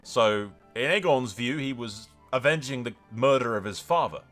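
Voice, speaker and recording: A man commentates a strategy roleplay game over faint background music. His voice is bright and forceful at a middling pitch. He rambles emphatically, swears freely and breaks into theatrical, in-character declarations. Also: lively intonation, moderate pace. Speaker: gaming content creator and host.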